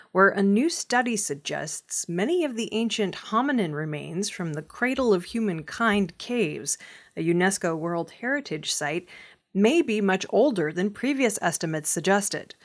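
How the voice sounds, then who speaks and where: Female news reader, mid-range voice, for scripted narration of news reports. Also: mid-range voice.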